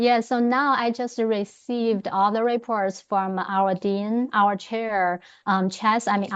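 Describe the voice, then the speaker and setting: A woman from China with a Chinese accent, answering interview questions conversationally, pausing to think as she goes. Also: mid-range voice, dull audio. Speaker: interview guest, history professor.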